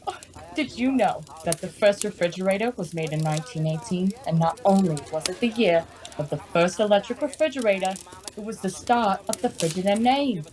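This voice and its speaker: An actress playing a chatty, sweet but sharp-tongued woman as Mrs. Claus in an old-time radio comedy. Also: medium pitch, fairly quick, close to an everyday voice. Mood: eager, informative.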